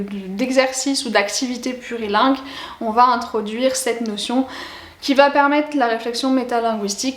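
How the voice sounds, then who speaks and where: A woman presenting an educational video, explaining in a didactic way and addressing viewers directly as a language teaching presenter. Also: a little high. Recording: echoey, roomy sound.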